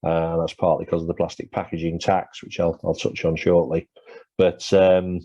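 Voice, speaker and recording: A man's voice: low-pitched, little pitch movement, moderate pace, dark tone, dry recording. A man presenting a webinar and answering questions, talking with many fillers and restarts, his voice going. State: losing his voice. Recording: dull lo-fi webinar audio.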